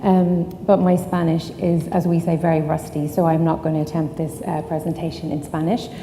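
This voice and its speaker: A woman's voice: low voice, flat delivery, normal speed, warm tone. A woman with an Irish accent giving a conference talk, explaining steadily with many ums. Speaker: conference speaker. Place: conference hall. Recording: echoey hall, distant, faint noise.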